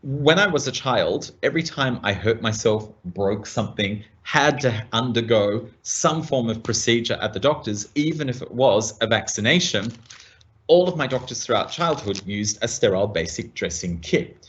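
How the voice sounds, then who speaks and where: An Australian man, a medical practitioner, giving a measured product demonstration to colleagues. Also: medium pitch, animated, a bit bright, muffled recording.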